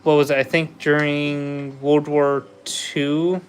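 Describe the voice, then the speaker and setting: A man's voice: on the high side, little pitch movement, slow pace, slight background noise. A man chatting casually with viewers while building a Lego set on a livestream, easygoing and laughing often. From USA. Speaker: livestream host.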